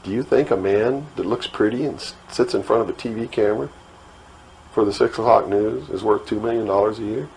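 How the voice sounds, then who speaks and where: American man, low voice, impassioned lecture, emphatic repetition, faint background noise. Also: vocal fry, a little mellow. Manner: emphatic, rhetorical questions, deliberate repetition. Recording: noisy old talk recording.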